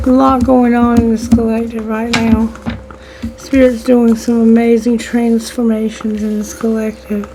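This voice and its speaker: Slow, flat-toned woman with a strained voice. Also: fairly high pitch, over music, rough recording. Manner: speaks straight to listeners as "the collective", with spiritual wording and prayer. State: losing her voice, strained. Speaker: tarot reader. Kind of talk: channel tarot reading for a general audience.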